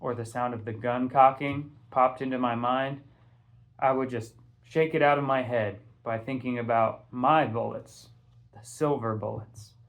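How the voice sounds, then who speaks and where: A man reading a first-person story aloud in an expressive, casual, conversational way. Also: mid-range voice, a little slow. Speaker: audiobook reader, teacher.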